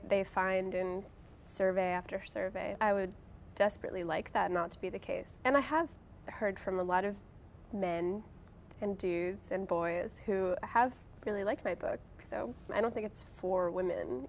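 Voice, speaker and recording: A woman's voice: mid-range voice, slight background noise. A young woman speaking as an interview guest, casually and informally, often hedging with filler words like "like" and "sort of". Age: young adult. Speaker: interview guest, author and blogger.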